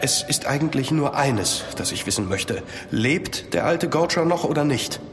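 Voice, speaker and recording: An actor playing an ardent young nobleman in a horror audio drama, earnest and expressive. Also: a little high, normal speed, over music, natural voice. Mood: anxious, insistent. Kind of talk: dramatic first-person narration and dialogue.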